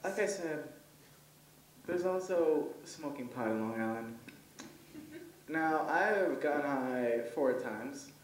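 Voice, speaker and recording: A man's voice: mid-range voice, expressive, takes it slowly, pauses often, slight background noise. A young man whose first language is Hebrew doing his first stand-up set, rambling with lots of ums and laughing at his own jokes. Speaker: stand-up comedian. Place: comedy event stage. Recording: roomy, voice from far off.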